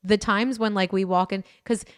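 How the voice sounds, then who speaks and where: A woman co-hosting a podcast in casual chat, joking and laughing often, her voice a bit deeper and tired in the evening. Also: steady tone, normal speed, clean tone, no room sound, clean studio sound. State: tired late in the day. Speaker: podcast co-host.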